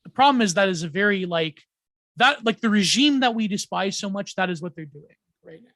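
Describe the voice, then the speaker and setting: Podcast guest: high-pitched man, rambling with fillers, dry close sound. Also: expressive, brisk, fairly bright tone, no room sound. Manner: rambling, many ums and restarts, laughs often. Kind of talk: casual podcast chat.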